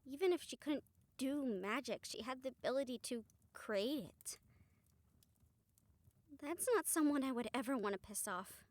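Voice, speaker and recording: A woman's voice: high voice, moderate pace, long pauses, close to an everyday voice. A woman playing a wry, caring young woman friend in a fantasy audio roleplay, speaking casually and hesitantly, as if to one listener. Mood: wry, musing. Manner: casual, hesitant, chuckling, mildly sweary. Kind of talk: audio roleplay acting, intimate one-sided conversation with listener.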